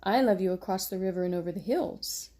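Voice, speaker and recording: A woman's voice: medium pitch, brisk, dark tone, natural voice. A woman voicing a big grown male hare in a bedtime storybook, tender, patient and reassuring. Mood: fond, patient.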